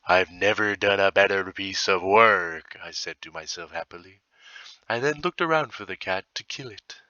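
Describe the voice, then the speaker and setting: A man reading a horror story as a tormented murderer's confession, in a theatrical, heavily emphatic manner with dramatic pauses. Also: low voice, pushed and bright, no echo, muffled recording. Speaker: storybook reader. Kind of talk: dramatic first-person narration of a horror story.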